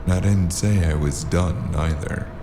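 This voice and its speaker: A man playing a weary, sardonic hard-boiled investigator, narrating a noir audio drama in a gravelly, deadpan way. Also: low voice, unhurried, slight background noise. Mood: irritated, cool. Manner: deadpan, dry, brooding.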